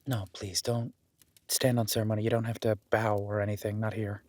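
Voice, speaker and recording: A man's voice: fairly low pitch, little pitch movement, a little fast, a little mellow, dry recording, close to an everyday voice. A man playing an awkward, self-deprecating young werewolf duke in a roleplay audio drama, stammering and apologetic, speaking informally to the listener. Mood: earnest, reassuring.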